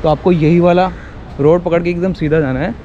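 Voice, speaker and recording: Fast-talking young Indian man vlogging outdoors. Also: a little high, steady tone, dark tone, muffled recording. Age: young adult. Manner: informal, repetitive, explaining to viewers. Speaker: shopping vlogger. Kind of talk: casual vlog commentary, chatting with stallholders. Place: outdoor street market and roadside. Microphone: handheld action camera at arm's length. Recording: noisy outdoor street with traffic. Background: street and vehicle noise.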